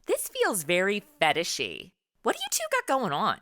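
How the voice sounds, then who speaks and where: A woman's voice: medium pitch, huge pitch swings, normal speed, bright, forceful tone, no echo, studio quality. An actress playing a sarcastic modern young woman and reluctant sidekick, snarky and blunt, in a comedy radio play.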